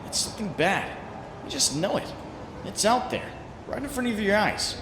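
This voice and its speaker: Man playing a high, animated, anxious young superhero. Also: normal speed. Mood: brooding, wry. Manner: brooding, wry, self-doubting. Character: anxious, wry young male superhero narrating his doubts. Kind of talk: dramatic second-person narration and dialogue, comic dub. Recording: echoey room with faint background noise.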